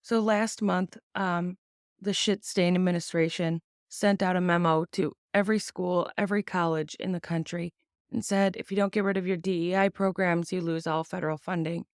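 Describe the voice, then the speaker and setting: A woman hosting a podcast, giving casual, blunt opinions on the news, swearing freely and using many ums, sounding sick and tired with a slightly raspy voice. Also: on the low side, steady tone, moderate pace, no echo, clean studio sound. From United States. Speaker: podcast host.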